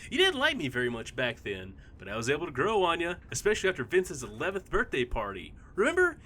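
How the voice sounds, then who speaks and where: A bright, lively voice for an overfriendly mystery stranger in a comedy audio drama, played by an actor. The delivery swoops around with cheery, chummy confidence and stays unbothered. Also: mid-range voice, fast. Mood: fond, cheery. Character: overfriendly chipper mystery stranger posing as lifelong best friend.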